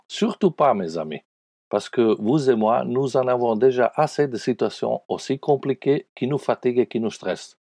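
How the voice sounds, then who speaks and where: A man with an Italian accent, a pastor giving an earnest spiritual radio message and speaking warmly to listeners as friends. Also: medium pitch, no room sound.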